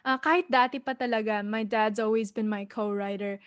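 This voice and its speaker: Bright young raised woman singer. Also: fairly high pitch, fairly even intonation, fairly quick, clean tone. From United States. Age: young adult. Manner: bright and friendly, mixing English with learned Tagalog. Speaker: singer-songwriter guest. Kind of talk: interview answers.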